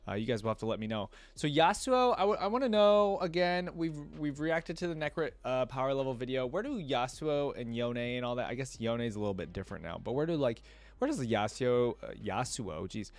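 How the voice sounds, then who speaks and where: A man giving casual gaming reaction commentary as a host, rambling and thinking out loud as he goes. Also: mid-range voice, animated, a little fast, no echo.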